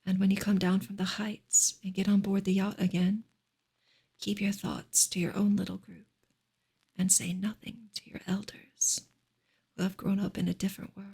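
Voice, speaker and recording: A woman speaking softly and gently in a breathy, whispery ASMR style, as a streamer reading and chatting to listeners. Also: mid-range voice, little pitch movement, normal speed. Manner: gentle, soft-spoken. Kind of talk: soft-spoken ASMR book reading and chat.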